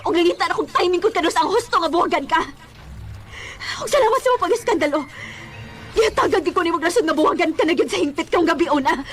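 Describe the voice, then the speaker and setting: A woman's voice: very high-pitched, animated, fast talker, a bit bright, faint music behind. A woman with a Cebuano accent playing a haughty, taunting young girlfriend in a melodramatic radio drama. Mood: scornful. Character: scornful young woman cruelly dumping her poor boyfriend. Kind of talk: Bisaya radio drama acting.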